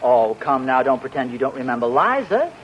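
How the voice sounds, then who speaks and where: A man's voice: mid-range voice, very animated, brisk, lightly acted. An actor playing a husband who teases his wife with a boastful story in a 1940s radio sitcom. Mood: teasing. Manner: teasing, playfully boastful storyteller. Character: teasing husband spinning a boastful tale. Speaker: sitcom lead. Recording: muffled 1940s radio broadcast with hiss.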